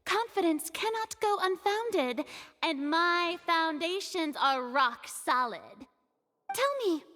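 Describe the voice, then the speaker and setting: A woman's voice: very high-pitched, lively intonation, slow pace, sharp tone, full character voice. A woman voicing a vain, theatrical young noble who speaks with grandiose, showy courtroom flourish in a game cutscene.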